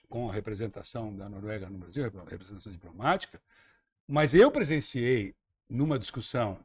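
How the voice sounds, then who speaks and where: Husky, lively-voiced Brazilian man arguing. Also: mid-range voice. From Santa Catarina, Brazil. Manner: emphatic, argumentative, repeats words while thinking. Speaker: interview guest, former tourism minister. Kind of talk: radio talk-show interview.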